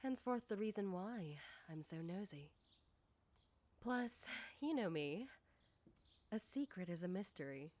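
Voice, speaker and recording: A woman playing a nosy, teasing, self-assured popular high school girl in a soft ASMR roleplay, coy and deliberate with whispery dips. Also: lively intonation. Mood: teasing, curious. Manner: coy, probing, deliberate, often dropping into whispers. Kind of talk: ASMR roleplay, soft personal-attention talk.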